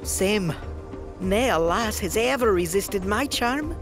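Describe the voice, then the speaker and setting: Woman as a slow, boastful fairy boy. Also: mid-range voice, animated, music in the background. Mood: cocky. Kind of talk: fantasy audio drama character acting.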